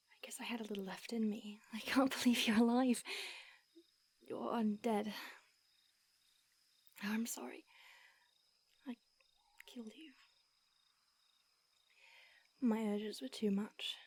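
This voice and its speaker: A woman voicing an ancient female vampire who mentors her fledgling, speaking in a hushed, breathy whisper with hesitant restarts. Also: a little high, fairly even intonation, a little slow, long pauses. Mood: remorseful, tender. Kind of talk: audio roleplay.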